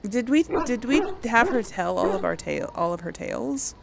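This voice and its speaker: Female let's player, casual commentary, noticeable fry in the voice. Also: medium pitch, animated, moderate pace, heavy background noise. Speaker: gameplay streamer role-playing a character.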